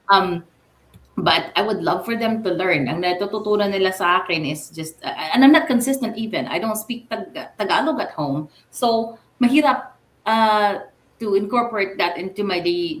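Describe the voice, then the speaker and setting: A woman co-hosting a radio interview, chatty and animated, with a self-deprecating way of talking. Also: on the low side. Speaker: radio co-host. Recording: roomy echo.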